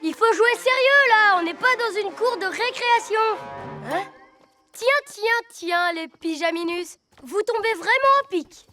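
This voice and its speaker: A very high, childish boy villain, barks and boasts like a showy little ninja boss. It is a cartoon voice over loud music. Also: animated, a little slow. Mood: bossy, taunting. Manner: barking, taunting, showy. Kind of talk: French cartoon dubbing.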